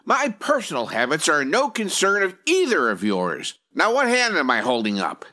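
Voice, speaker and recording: An actor playing a pompous, nervous and easily irritated company examiner in a comic radio drama. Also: male voice, a little high, huge pitch swings, normal speed, bright, forceful tone, full character voice. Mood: flustered, irritated. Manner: officious, pompous, clipped questioning.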